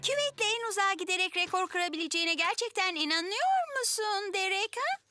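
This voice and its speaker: A woman's voice: very high-pitched, expressive, brisk, music in the background. A woman voicing a curious, skeptical young child in a children's cartoon dub, with a questioning manner. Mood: doubtful.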